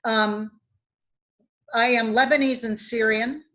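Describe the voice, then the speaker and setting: Woman, coach and webinar guest, earnest, illustrates with stories; slightly high voice, muffled call audio. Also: steady tone, slow pace, a bit bright. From West Virginia, USA. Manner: earnest, explains with anecdotes. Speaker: guest speaker, executive coach. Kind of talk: webinar discussion.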